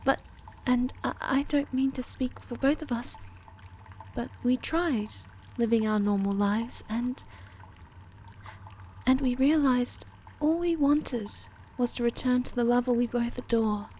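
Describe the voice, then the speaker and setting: Woman doing shy little moth girl for asmr rp, highish voice, earnest, stammery and pleading, slight background noise. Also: measured pace, mellow, close to an everyday voice. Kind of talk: ASMR roleplay acting.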